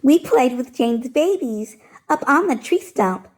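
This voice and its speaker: A woman hosting storytime, reading a rhyming picture book aloud in an expressive, rhythmic, sing-song way. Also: sits high, unhurried.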